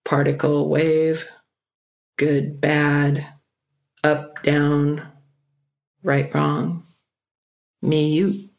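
A speaker giving a reflective personal talk, musing aloud and often slowing down to stress key ideas. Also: mid-range voice, flat delivery, creaky. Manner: musing, with frequent "you know" fillers.

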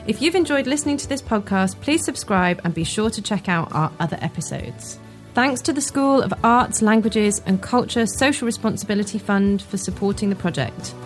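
A woman's voice: mid-range voice, animated, moderate pace, faint music behind. A woman reading a scripted sign-off as the host of a podcast.

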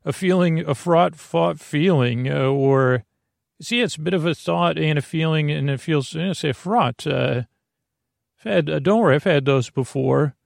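A man hosting a sleep podcast in a gravelly voice, with a meandering, stammering, reassuring bedtime monologue that keeps losing its thread. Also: on the high side, moderate pace, no room sound, clean studio sound. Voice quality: creaky, gravelly.

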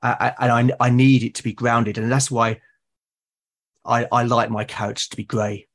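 A man's voice: mid-range voice, level tone, moderate pace, fairly bright tone. A man from Britain speaking as a guest in casual conversation, agreeable and hesitant, repeating words as he thinks. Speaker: podcast guest. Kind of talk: casual conversation about roleplaying games.

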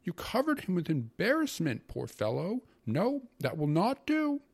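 A pompous, self-pitying uncle from a classic storybook. His voice jumps high and loud as he grumbles and complains, dragging out words with wounded, hypochondriac emphasis. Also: very animated, unhurried, creaky, slightly rough, full character voice. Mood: indignant grumbling.

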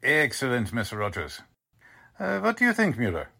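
A man's voice: mid-range voice, wildly swooping pitch, moderate pace, creaky, bright, forceful tone, husky, full character voice. A man playing a smugly polite, condescending Nazi commander in a 1940s-style radio drama, speaking formally. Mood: smug politeness.

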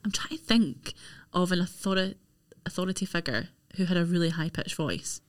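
A young woman, a podcast guest chatting casually, animated and quick to swear. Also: on the low side. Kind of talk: casual chat.

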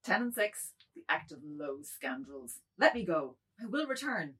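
Woman playing a cowed man, husky and distant. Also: lowish voice, big pitch swings, normal speed, fairly bright tone, heavily acted. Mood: desperate protest. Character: cowed, pleading middle-aged man, meek and guilty. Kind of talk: dramatised reading of a novel.

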